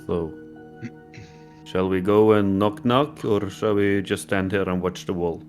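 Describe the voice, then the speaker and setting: A male player with a very low voice talks in an easygoing, joking way. Faint music plays behind him. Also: fairly even intonation, a little slow, darkish tone. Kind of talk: casual roleplay chat.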